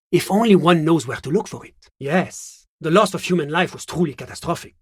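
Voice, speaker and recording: A man playing a smug, urbane government official in a video game, with a French accent and a pompous, measured, courteous manner. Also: a little high, breathy edge, no echo, clean studio sound, full character voice. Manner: genteel, pompous, measured, with little questioning hums. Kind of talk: theatrical game character dialogue.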